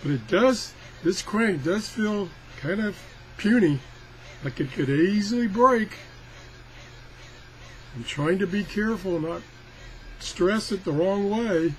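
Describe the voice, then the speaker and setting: A man's voice: high voice, expressive, takes it slowly, pauses often. An older man casually demonstrating a product in a rambling, folksy, self-deprecating, grumbling way. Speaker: product reviewer. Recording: clearly noisy amateur recording.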